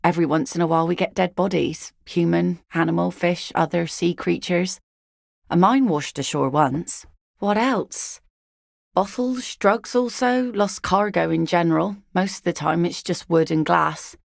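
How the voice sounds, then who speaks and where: Gravelly weathered net-picker woman, low voice, blunt, sardonic, dry booth sound. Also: measured pace, no echo. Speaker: game character. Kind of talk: video game voice lines.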